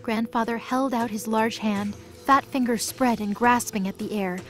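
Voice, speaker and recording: A woman's voice: fairly high pitch, steady tone, normal speed, fairly bright tone. A woman reading scripted story narration as the narrator of a mythology audio drama. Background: music.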